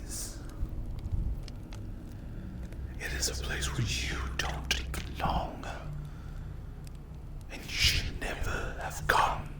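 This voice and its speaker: A performer voicing a menacing, sadistic demon in a breathy, gravelly whisper, taunting theatrically in a dark fantasy ASMR roleplay. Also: close to an everyday voice. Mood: sinister, scornful. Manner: slow, drawn-out, taunting, theatrical. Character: menacing sadistic demon guarding its unholy lair.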